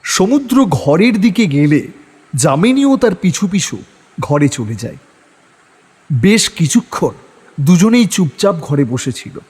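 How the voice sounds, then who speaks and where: A male narrator tells a dramatic horror story from a script. His voice is fairly high and husky, with a long reverb trailing it. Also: very animated, takes it slowly, pauses often, a little mellow.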